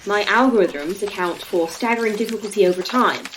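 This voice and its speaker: A woman playing a brisk, knowledgeable guide to a virtual world of monsters in a sci-fi audio drama, explaining things in a technical, matter-of-fact way. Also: medium pitch, background noise, close to an everyday voice. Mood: briskly explaining. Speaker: voice actor in a fiction podcast.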